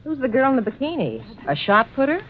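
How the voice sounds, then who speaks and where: An actress playing a sergeant's teasing, needling wife in an old radio comedy sketch. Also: female voice, sits high, very animated, quick pace, a little hiss or hum. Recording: thin, phone-like vintage radio recording.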